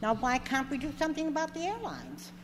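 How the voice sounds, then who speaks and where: A woman's voice: high-pitched, expressive, a little fast. An older woman, a local resident, making an aggrieved, insistent complaint at a public hearing. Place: large meeting hall. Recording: echoey hall with faint hum.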